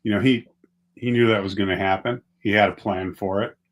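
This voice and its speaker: Lower-voiced man, plainspoken guest. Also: steady tone, a little fast. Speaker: podcast guest. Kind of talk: casual theological discussion.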